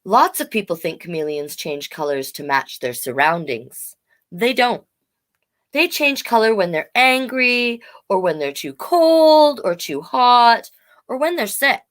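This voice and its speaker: A woman's voice: medium pitch, very animated, measured pace, fairly bright tone. A woman hosting a children's storytime, reading aloud expressively and playfully, with chatty explanatory asides for young listeners. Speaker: host reading a children's picture book.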